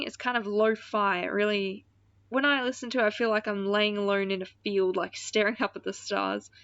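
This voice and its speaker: A woman's voice: medium pitch, fairly bright tone, no echo, lo-fi sound. A woman hosting a radio-style show in casual DJ chat, with an informal, rambling, self-deprecating manner. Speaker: radio-style podcast host.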